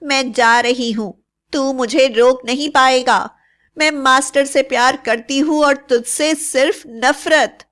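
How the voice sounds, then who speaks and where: A woman as a scheming young bride, high-pitched. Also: fairly even intonation, slow, a bit bright. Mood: defiant, scornful. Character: young bride, sweet-talking, scheming, scornful. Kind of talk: melodramatic cartoon story acting.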